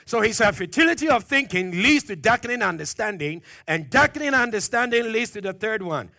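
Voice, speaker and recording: A man preaching a sermon, pressing his points with questions to the congregation, loud at the peaks and dropping low and quiet at phrase ends. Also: animated, brisk, vocal fry, no echo, studio quality. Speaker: pastor.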